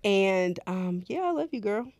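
A woman co-hosting a podcast in casual chat, lively and talkative, laughing often. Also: medium pitch, a little slow. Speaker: podcast co-host.

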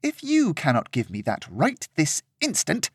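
A haughty, pampered young woman, put on by a man reading the tale aloud, complains in a high, whining voice. Her demands climb sharply in pitch. The sound is close and dry, with no room around it. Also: wildly swooping pitch, measured pace, husky, dry recording, heavily acted. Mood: indignant. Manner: whiny, indignant.

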